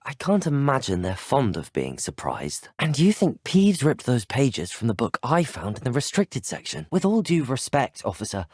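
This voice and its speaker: A man's voice: mid-range voice, huge pitch swings, normal speed, few pauses, darkish tone, breathy edge, no echo, studio quality. An actor playing an earnest, courteous young male student wizard in a video game, speaking formally with a British accent. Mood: curious, then respectful, firm. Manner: formal, well-spoken, courteous. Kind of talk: scripted video game voice lines.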